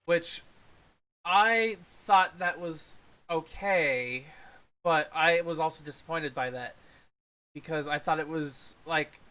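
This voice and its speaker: A man with a fairly high, very bright voice in a casual review conversation. He rambles as a co-host and often leaves thoughts hanging halfway through. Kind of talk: casual film review chat.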